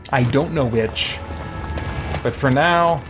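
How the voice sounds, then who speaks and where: A man with a medium-pitched voice commentates casually on a video game for a live stream. He thinks aloud in a wry, offhand way. Game music plays clearly behind him. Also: takes it slowly.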